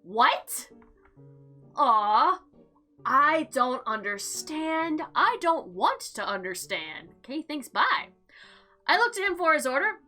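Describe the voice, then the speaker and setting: A woman with a high, bright voice hosts a game playthrough. She reads the story text aloud with lively swings of pitch and drops in slangy, sarcastic asides. Faint music plays behind her. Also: takes it slowly. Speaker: gaming video host. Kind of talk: animated let's play, reading game text aloud with casual commentary.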